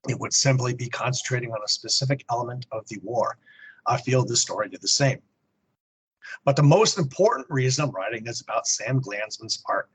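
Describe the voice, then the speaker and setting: Man co-hosting a podcast, slightly rough voice. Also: medium pitch, low-quality recording. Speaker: podcast co-host. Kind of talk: casual chat and reading listener email aloud.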